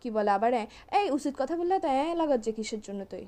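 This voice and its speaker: Woman acting a high-pitched, fast-scolding young Bangladeshi girlfriend. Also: animated, dark tone. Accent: Chittagonian Bangladeshi. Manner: scolding, threatening, repetitive. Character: angry, nagging young girlfriend scolding her boyfriend. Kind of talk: comic phone-call argument.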